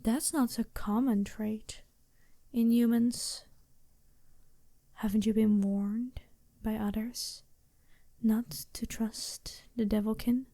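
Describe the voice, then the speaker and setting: Slow, soft-toned regal demon princess, played by a woman. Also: on the high side, steady tone, vocal fry, no echo. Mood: probing, teasing. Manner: deliberate, softly commanding, measured. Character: regal female demon princess, poised, imperious yet compassionate. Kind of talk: intimate ASMR roleplay.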